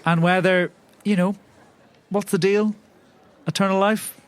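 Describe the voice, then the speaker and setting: A man with a noticeably high voice plays in a tabletop roleplay podcast. He improvises conversational, in-character dialogue. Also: steady tone, unhurried, clear, resonant tone.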